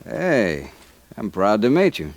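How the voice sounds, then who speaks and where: Old radio western newspaperman: American, laid-back, blunt, lowish pitch, short casual phrases. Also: wildly swooping pitch, slow pace, no room sound, exaggerated voice. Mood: groggy, friendly. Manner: plain-spoken, casual, terse.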